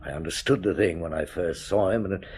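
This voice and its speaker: A husky, weary police inspector. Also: male voice, deep voice, darkish tone. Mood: grim. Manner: measured, authoritative. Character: shrewd weary male police inspector, calm. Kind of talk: radio drama acting.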